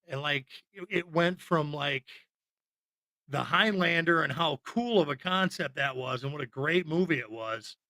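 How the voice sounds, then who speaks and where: A man with a bright, somewhat high voice, recorded dry and close. He co-hosts a podcast, chatting casually about movies. His delivery is loose, rambling and full of opinion. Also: a little slow, no room sound. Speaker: podcast co-host. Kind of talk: casual film chat.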